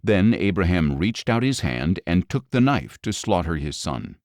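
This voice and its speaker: A man with a deep voice narrates scripture for an audio Bible. His reading is measured yet expressive, with wide swings in pitch. The sound is close and dry, free of room echo.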